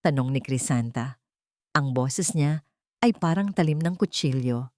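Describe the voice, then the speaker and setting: A woman's voice: a bass voice, animated, measured pace, dark tone, no room sound, studio quality. A woman narrating a scripted audio drama as its storyteller.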